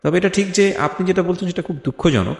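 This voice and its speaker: A man's voice: sits high, quick pace, gravelly. A man hosting a life-story interview, speaking reflectively and explanatorily, dropping English phrases into his talk. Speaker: interview host. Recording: echoey hall with long reverb.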